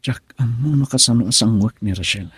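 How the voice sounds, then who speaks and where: An actor playing a troubled, lovelorn young husband in a radio drama, speaking in a raspy, halting, emotional way. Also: male voice, medium pitch, a bit bright. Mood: troubled, hesitant.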